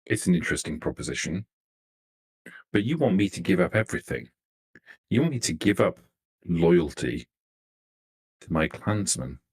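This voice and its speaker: Roleplayer as guarded vampire clan member in a tabletop game: very low register, swinging intonation, curt and suspicious. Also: big pitch swings, normal speed, pauses often, heavily acted. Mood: challenging. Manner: terse, guarded, questioning. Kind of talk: in-character tabletop roleplay dialogue.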